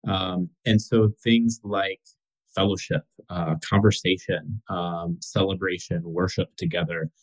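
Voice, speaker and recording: Deep-voiced man moderating a webinar. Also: fairly even intonation, unhurried, low-quality recording. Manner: hesitant, many fillers, reads questions aloud.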